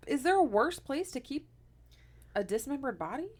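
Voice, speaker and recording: A woman's voice: sits high, sing-song, normal speed. A woman in her thirties telling a true-crime story to her co-host in casual podcast chat, laughing often and wandering into asides. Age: 30s.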